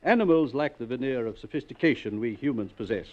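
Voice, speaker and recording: A man's voice: medium pitch, animated, fast, lightly acted. An actor playing a serious, formal male psychic investigator, in 1940s radio acting style. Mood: solemn. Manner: grave, formal, portentous. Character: solemn serious male psychic ghost hunter. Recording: dull old 1940s radio recording.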